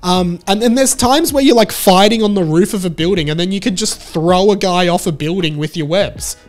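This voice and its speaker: A man in his thirties with a fairly high voice talks casually and emphatically to camera. He is close on a handheld mic in a room at home, with music playing behind him. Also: normal speed. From Australia. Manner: animated, informal, emphatic. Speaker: video game vlogger. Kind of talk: casual enthusiastic talk to camera. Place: small room at home, indoors. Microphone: handheld microphone close to the mouth. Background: background music.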